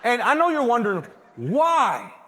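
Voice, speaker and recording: High, animated boastful painter in echoey hall man acting. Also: normal speed, a faint crowd, heavily acted. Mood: animated storytelling. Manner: theatrical, conversational, addressing the audience. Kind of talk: stage play monologue.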